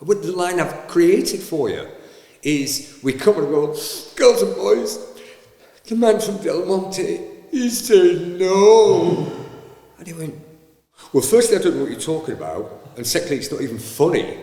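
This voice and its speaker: Man, lively teller who does the voices, recorded in a reverberant space. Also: high-pitched, measured pace, vocal fry. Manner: animated, acts out voices in his stories. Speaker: panto actor. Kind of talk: anecdotal storytelling. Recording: roomy, echoey with long reverb.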